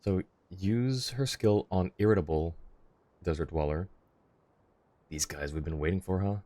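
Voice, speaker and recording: A man's voice: low-pitched, measured pace, mellow. A young man streaming a game live, commenting casually and chatting with his viewers.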